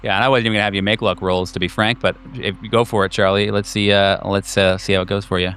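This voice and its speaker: A man hosting a tabletop horror game, improvising narration and table chat in a halting, searching way with repeated words. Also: fairly low pitch, level tone, fairly bright tone. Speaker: game master and host. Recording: faint music behind the voice. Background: faint music.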